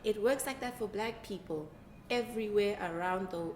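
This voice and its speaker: A woman's voice: medium pitch, normal speed, a little mellow. A woman, a guest artist, talking frankly in casual conversation and laughing often. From South Africa. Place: art exhibition hall, indoors. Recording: roomy hall with faint noise.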